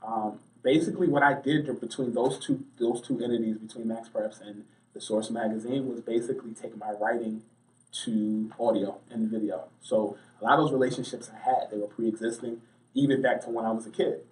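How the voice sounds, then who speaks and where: A male journalist giving conversational interview answers in a mid-range voice. He builds his points haltingly, often repeating words. The microphone sounds slightly distant. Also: mid-range voice, steady tone, a little mellow. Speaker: interview guest, sports and entertainment journalist.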